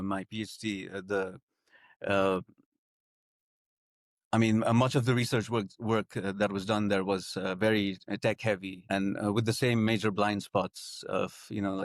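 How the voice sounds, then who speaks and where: A young man from Nepal, a guest talking through his story in a conversational interview. His voice sits on the low side and comes across close and dry, with no room sound. He speaks in a halting, thoughtful way, full of "uh"s and restarted phrases. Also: no room sound. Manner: hesitant, many fillers and restarts.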